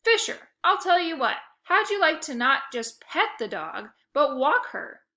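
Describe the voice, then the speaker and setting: A woman's voice: high-pitched, very animated, unhurried, pushed and bright, voice pushed up, exaggerated voice. Woman doing a brash young boy hustler. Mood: brash salesy. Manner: brash and salesy. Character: cocky schoolboy hustler, boy.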